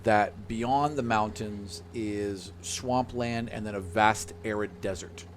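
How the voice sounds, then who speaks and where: A man's voice: on the low side, takes it slowly, slight background noise. A man narrating a tabletop roleplaying game casually as the game master.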